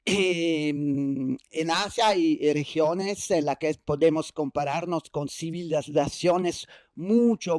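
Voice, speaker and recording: A man's voice: a little high, darkish tone, no room sound, clean studio sound. A man from Italy with an Italian accent, giving a conference lecture in a non-native language, hesitant with many fillers and restarts. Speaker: keynote speaker. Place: university lecture hall.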